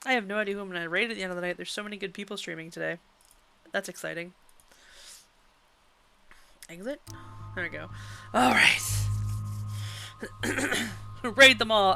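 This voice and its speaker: A woman's voice: medium pitch, vocal fry, bright, forceful tone. Chatty woman with a baby voice. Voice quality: youthful baby voice. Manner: chatty, laughing, reactive. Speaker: livestream host playing a game. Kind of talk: casual gaming commentary.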